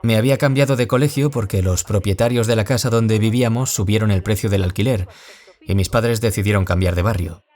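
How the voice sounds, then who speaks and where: A man acting a gentle former bullying victim turned author in an audio drama, confiding in a measured, reflective way. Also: on the low side, close to an everyday voice. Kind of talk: audio drama acting as a radio interview guest.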